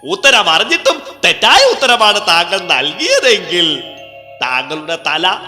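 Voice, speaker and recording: A man's voice: sits high, big pitch swings, a little slow, pushed and bright, exaggerated voice. A man voicing a mischievous male vetala spirit from a banyan tree, teasing and theatrical, with cackling laughs. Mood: challenging. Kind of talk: dubbed cartoon storytelling. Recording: echoey reverb with music under.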